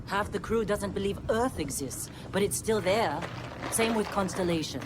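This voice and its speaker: A woman's voice: mid-range voice, expressive, normal speed, background noise, close to an everyday voice. A woman playing a blunt, dry, commanding female mining supervisor in a video game, weary and no-nonsense. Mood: dry, matter-of-fact.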